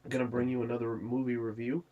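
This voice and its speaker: A man's voice: medium pitch, level tone, quick pace, dark tone, muffled recording, slight background noise. Sick man coughing through a casual review. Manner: rambling, many fillers and restarts. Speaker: movie reviewer, channel host. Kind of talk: casual spoken movie review.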